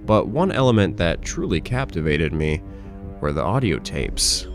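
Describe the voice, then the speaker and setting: A man's voice: deep voice, big pitch swings, a little slow, over music. A man hosting a horror review, reading a scripted video essay as narration. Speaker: host, horror series reviewer.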